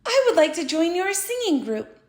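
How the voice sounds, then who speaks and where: A woman's voice: extremely high pitch, very animated, normal speed. A woman storyteller voicing a shy, hopeful young male frog who stammers when he is nervous. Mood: shy, pleading. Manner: stammering, timid. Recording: roomy echo.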